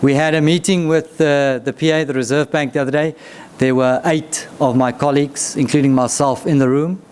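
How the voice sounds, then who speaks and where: Executive speaker: a man with a slightly high pitch, proud and emphatic, over a faint rumble. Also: fairly even intonation, normal speed, darkish tone. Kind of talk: event speech.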